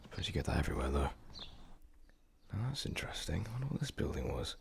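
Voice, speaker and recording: A man's voice: very deep voice, sing-song, fast, creaky, a little hiss or hum, exaggerated voice. A man playing a curious, self-questioning time traveller in an audio drama, musing in a wry, hesitant way. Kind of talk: audio drama monologue and dialogue. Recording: music under the voice.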